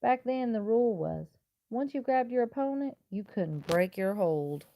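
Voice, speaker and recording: A woman reading a children's picture book aloud like a teacher, with expressive, deliberate and emphatic phrasing. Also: on the high side, a little hiss or hum. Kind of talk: expressive children's storybook read-aloud in first person.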